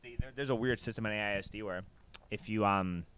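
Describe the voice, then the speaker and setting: Fast, bright-voiced man rambling emphatically. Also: lowish voice, smooth. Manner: rambling, emphatic, slangy and profane. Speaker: podcast host. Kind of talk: casual chat, conversational storytelling.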